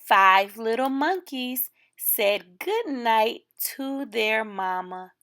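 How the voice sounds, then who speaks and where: Very slow, high-pitched woman reading a storybook. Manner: expressive, deliberate phrasing for young listeners. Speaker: storytime reader. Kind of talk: children's picture book read aloud.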